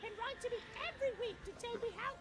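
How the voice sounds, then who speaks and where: A woman's voice: very high voice, rapid-fire. A woman voicing a vain, bossy pony princess ruler in a parody cartoon. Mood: smug, commanding. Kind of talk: comedic cartoon parody dub. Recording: music under the dialogue.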